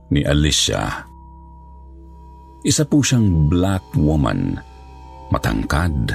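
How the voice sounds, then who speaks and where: A man's voice: extremely low pitch, vocal fry, darkish tone. A man reading a scripted first-person horror story. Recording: music bed under voice. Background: background music.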